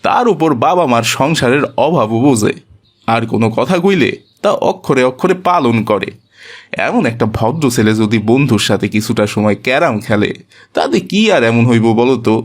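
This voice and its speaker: A man acts as a devout, humble village dad in a cartoon. His voice sits at an ordinary male pitch, with a colloquial Bangladeshi accent. He talks in a mild, homely, ruminative way. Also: expressive, normal speed, lightly acted. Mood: gentle, reassuring. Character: gentle, devout, poor village father, indulgent and hopeful. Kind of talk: cartoon voice acting.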